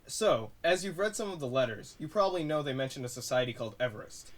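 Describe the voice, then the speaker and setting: A male actor plays a secretive man in an audio drama. His pitch moves around a lot as he talks, hesitant and grave. He comes across as guarded, like someone giving a warning. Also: lively intonation, fast talker. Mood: resigned.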